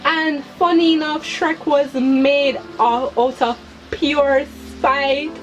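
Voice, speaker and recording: A woman's voice: high voice, fairly even intonation, slow pace, soft background music, rough recording. A young adult woman hosting a chatty video for her viewers, with a hyper, giggly manner and emphatic repetition of words. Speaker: video channel host. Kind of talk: chatty personal commentary to viewers.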